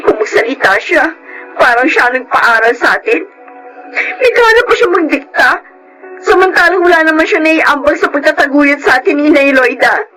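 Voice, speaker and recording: A woman's voice: high-pitched, lively intonation, normal speed, sharp tone, soft background music, low-quality recording. A woman playing a hurt, outspoken young adult daughter, earnest and emotional in a Filipino radio drama. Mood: bitter, hurt.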